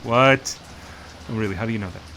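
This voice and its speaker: A man's voice: lowish voice, a little fast, bright, forceful tone, slight background noise. A man playing a brash, foul-mouthed dealer in illegal implants in a science fiction audio drama, blunt and full of slang. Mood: brash, irritated.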